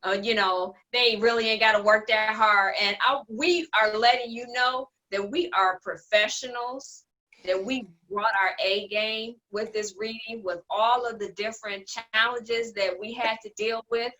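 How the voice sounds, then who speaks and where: Bright, high-voiced exuberant woman hosting casually. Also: fairly even intonation, measured pace, low-quality recording. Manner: exuberant, emphatic, frequently laughing. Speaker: host, playwright and producer of the cast. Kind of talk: casual video-call cast discussion. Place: at home indoors.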